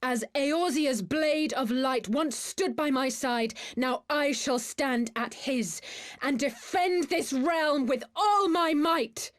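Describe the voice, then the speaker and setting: An actress playing a proud, resolute noble girl warrior in a video game, speaking formally and earnestly. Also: high voice. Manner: measured, formal, earnest declarations. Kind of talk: video game cutscene acting.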